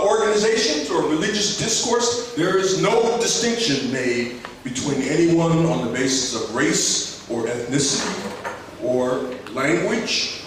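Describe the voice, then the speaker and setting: A man, a law professor, giving a reflective conference lecture with many fillers and restarts. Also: fairly high pitch. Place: conference hall. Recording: echoey hall, speaker far from the mic, noticeable background noise.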